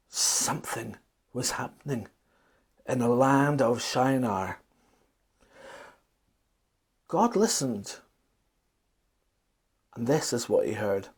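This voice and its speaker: A man telling a children's bedtime story, with dramatic pauses, emphatic repetition and questions to his listeners. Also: mid-range voice, breathy edge.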